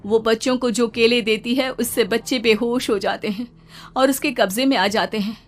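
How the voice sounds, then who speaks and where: A woman voicing the gentle ghost of a dead mother in a cartoon, calmly giving directions. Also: a little high, normal speed, natural voice.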